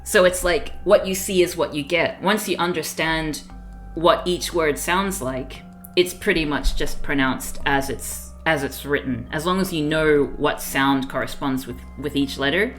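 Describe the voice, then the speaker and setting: A woman's voice: sits low, unhurried, a bit bright, soft background music. A woman streaming a game, chatting casually and rambling with frequent laughs; her first language was Fiji Hindi. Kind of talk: casual livestream chat while playing a game.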